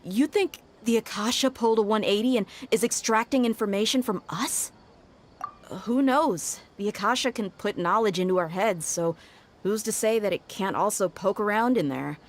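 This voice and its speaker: A woman playing a sharp, confident adult character in an anime video game, musing and analytical in delivery. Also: mid-range voice, normal speed, close to an everyday voice. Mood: theorizing.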